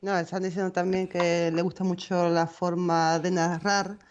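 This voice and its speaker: A woman's voice: lowish voice, little pitch movement, moderate pace, a little mellow, muffled recording. A woman co-hosting a casual livestream chat, reading out viewer comments with small hesitations.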